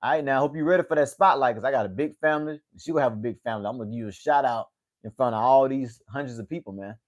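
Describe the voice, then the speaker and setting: A man in his late twenties talks loosely to viewers on a livestream. He rambles, repeats his words and tags "man" onto his sentences. His voice sits at an ordinary middle pitch. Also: rapid-fire, muffled recording. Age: 20s. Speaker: livestream host. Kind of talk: casual livestream chat.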